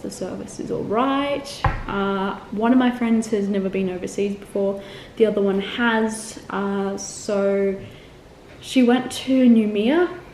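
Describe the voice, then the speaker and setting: Young aussie woman rambling about travel plans, mellow tone, slight room hum. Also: mid-range voice. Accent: Australian. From Australia, Melbourne. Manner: chatty, rambling, frequent ums and self-corrections. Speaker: travel vlogger. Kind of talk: casual chat to camera. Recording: echoey room with faint ambient noise.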